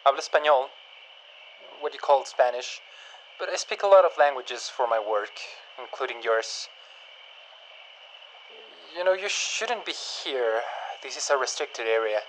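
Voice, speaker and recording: A man's voice: mid-range voice, lively intonation, a little slow, slight background noise, natural voice, rough recording. Man playing a stiff, guarded regime scientist. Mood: guarded, warning. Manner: stiff, precise, lecturing, evasive. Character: guarded, pedantic male scientist serving a dystopian regime. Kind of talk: audio drama acting.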